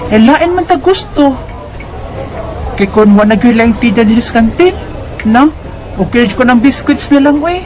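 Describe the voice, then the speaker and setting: Woman acting a high-voiced carefree Bisaya schoolgirl. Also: expressive, moderate pace, long pauses, a little mellow, close to an everyday voice, rough recording. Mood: chatty, hungry. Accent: Bisaya Filipino. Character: Maria, carefree schoolgirl around twelve who loves snacks. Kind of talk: Bisaya radio drama acting. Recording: music under the voice.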